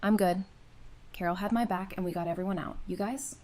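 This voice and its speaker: A woman playing a brave, practical survivor with dry warmth in an audio drama. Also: mid-range voice, fairly quick, lightly acted. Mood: concerned, casual. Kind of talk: fan audio drama acting.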